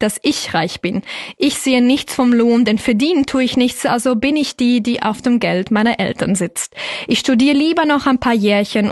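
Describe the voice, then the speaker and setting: A woman's voice: on the high side, no room sound, studio quality. A woman in her early twenties from Switzerland, with a Swiss German accent. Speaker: slam poet, interview guest.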